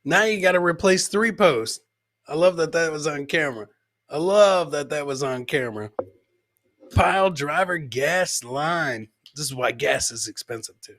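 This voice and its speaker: A man's voice: a little high, animated, moderate pace, fairly bright tone, no room sound. A man hosting a live show, chatting casually and informally as he reacts to clips and often repeating his own lines. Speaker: podcast host and stand-up comedian.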